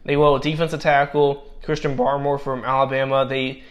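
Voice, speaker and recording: A man's voice: medium pitch, level tone, fairly quick. A young man giving casual spoken football draft commentary, with many fillers and restarts and a voice that is giving out. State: losing his voice. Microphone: personal microphone.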